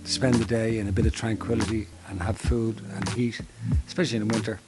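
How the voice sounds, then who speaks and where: A middle-aged Dublin man who manages a homeless service, answering interview questions earnestly with many halting restarts. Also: on the low side, level tone, background noise. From Ireland.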